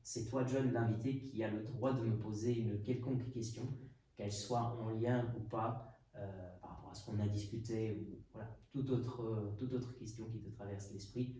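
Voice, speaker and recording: Dark-voiced hesitant man in echoey room. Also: lowish voice, fairly even intonation, normal speed. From Portugal. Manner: hesitant, thinking aloud with many fillers. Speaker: podcast host. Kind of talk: reflective podcast conversation. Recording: echoey room, mic at a distance, faint noise.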